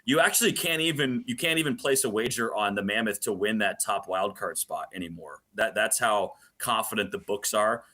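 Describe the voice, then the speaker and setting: A man's voice: fairly low pitch, normal speed, hardly any pauses, sharp tone. A man working as a hockey broadcaster, giving sports analysis as a guest and repeating phrases for emphasis. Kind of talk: animated sports talk, analysis.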